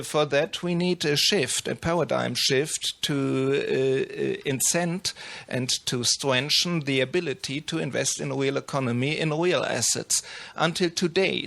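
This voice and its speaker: A man with a German accent speaking as a panelist, halting and searching for English words. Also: medium pitch, vocal fry, a bit bright. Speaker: panelist, sustainability investor. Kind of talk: panel discussion.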